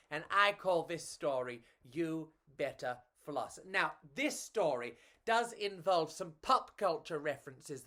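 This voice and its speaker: Animated, unhurried woman storyteller. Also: fairly low pitch. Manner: theatrical, measured, playful. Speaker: children's storyteller. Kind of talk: expressive storybook reading for children.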